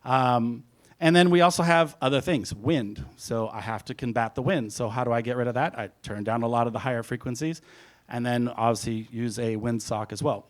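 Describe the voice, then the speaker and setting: A man's voice: mid-range voice, a little fast. A man, a music producer, giving an informal, rambling hands-on presentation, talking through each step aloud as he goes. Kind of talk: casual hands-on audio production talk.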